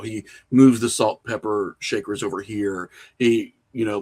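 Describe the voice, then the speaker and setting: A middle-aged man talking casually as an interview guest, opinionated and rambling as he works through his thoughts. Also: fairly low pitch. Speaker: podcast guest, horror filmmaker and writer.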